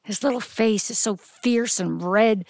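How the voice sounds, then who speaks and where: A woman telling a story in a polished, expressive way, with deliberate descriptive phrasing and dramatic pauses. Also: mid-range voice, vocal fry, dry recording, studio quality. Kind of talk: polished live storytelling to an audience.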